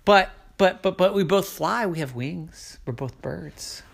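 A storyteller voicing an eager, excitable young male crow who stammers when pleading. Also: medium pitch, unhurried, vocal fry.

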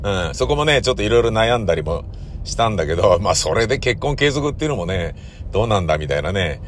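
A man hosting a casual solo podcast, talking in halting, drawn-out phrases that keep checking for agreement. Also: lowish voice, big pitch swings, slight background noise. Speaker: host of a regular podcast, radio drama director.

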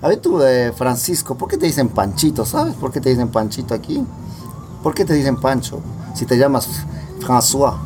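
A jovial man hosts a relaxed chat among friends, firing off playful questions in a voice of middle pitch. Music plays clearly in the background throughout. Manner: jovial, joking, quick questions. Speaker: podcast host. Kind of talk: casual interview over drinks. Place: gathering with friends drinking beer.

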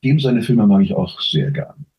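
A man's voice: on the low side, big pitch swings, moderate pace, husky, highs cut off. A man chatting casually as a podcast guest, giving frank opinions and qualifying them as he goes. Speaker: interview guest, voice actor.

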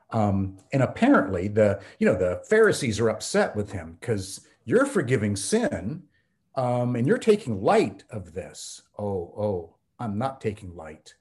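A man's voice: on the low side, a little mellow. A man who is a theology professor and pastor, guest on a podcast, explaining ideas in an earnest teaching tone with frequent ums. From United States. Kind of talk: conversational podcast interview.

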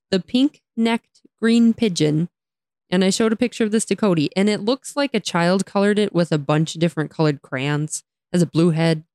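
Giggly young woman, slightly raspy. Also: on the low side, darkish tone, no room sound, studio quality. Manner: giggly, chatty, laughs often. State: slightly raspy from talking all day. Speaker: podcast co-host. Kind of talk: casual chat.

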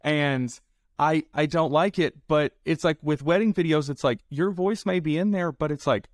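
A man with a Southern accent hosting a podcast, talking in a relaxed, rambling way with lots of "like" and "it's like". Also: on the high side, moderate pace, no echo, studio quality. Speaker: podcast host. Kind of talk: casual podcast chat.

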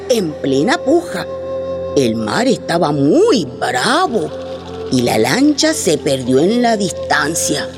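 A woman playing a Colombian grandmother telling spooky village legends to children, in a suspenseful, expressive way, for a radio play. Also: mid-range voice. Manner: slow, suspenseful, expressive storytelling. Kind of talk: theatrical radio storytelling. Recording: music behind the voice.

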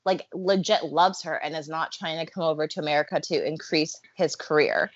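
A woman in casual chat, talking fast and loose with lots of filler words. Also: low-pitched, fairly even intonation, no echo, highs cut off. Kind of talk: casual chat about a reality show.

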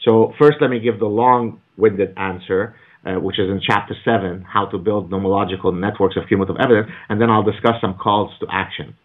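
Low-voiced man on a tinny line. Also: steady tone, a little fast. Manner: emphatic, argumentative, rises in pitch for emphasis. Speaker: author and guest. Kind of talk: podcast interview, lecturing. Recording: phone-like narrow audio.

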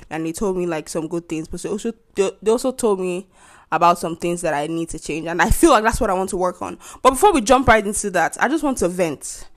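Indignant young Nigerian woman venting. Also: lowish voice. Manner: animated, indignant storytelling with mocking quoted voices. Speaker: podcast host. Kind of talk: casual venting monologue.

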